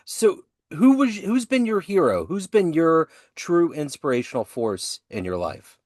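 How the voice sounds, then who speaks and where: A man's voice: a little high, sing-song, a little slow, darkish tone, no room sound, studio quality. A male host asking a guest direct, eager questions in an interview.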